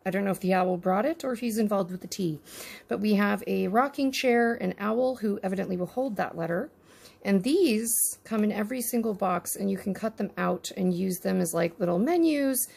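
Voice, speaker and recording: A woman with a husky, gravelly voice talks through an unboxing, chatty and easygoing. She speaks to the viewer and to the little items in her hands, recorded by an overhead camera at her tabletop. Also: mid-range voice, moderate pace, darkish tone. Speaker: miniatures unboxing vlogger. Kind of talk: casual unboxing chat. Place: indoors at a tabletop.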